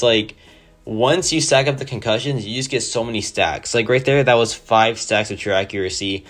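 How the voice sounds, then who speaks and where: A man with a hoarse, sore-throated voice giving casual, enthusiastic gameplay commentary over a home mic. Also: lowish voice, a bit bright. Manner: enthusiastic, rambling, many "like" fillers. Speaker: mobile game player.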